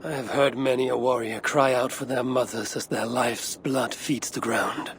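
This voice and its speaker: An actor playing a battle-hardened, honourable Viking warrior in a game cutscene, measured and solemn, with a gravelly voice. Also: male voice, medium pitch, fairly even intonation, a little hiss or hum, natural voice. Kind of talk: dramatic game cutscene acting.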